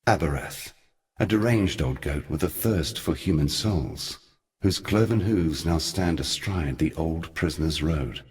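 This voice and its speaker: Sin, a very deep brooding god. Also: male voice. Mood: wry, brooding. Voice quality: very deep, weighty voice. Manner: measured, theatrical, wry storytelling. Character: Sin, ancient brooding male god, sardonic and eloquent. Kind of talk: scripted video game character monologue.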